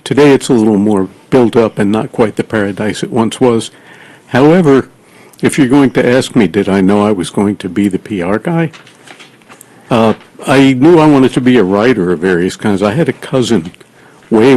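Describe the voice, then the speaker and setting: Low-voiced man telling his story. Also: a little mellow, low-quality recording. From South Florida, US. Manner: fluent storyteller. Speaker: guest, PR consultant. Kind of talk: interview answers.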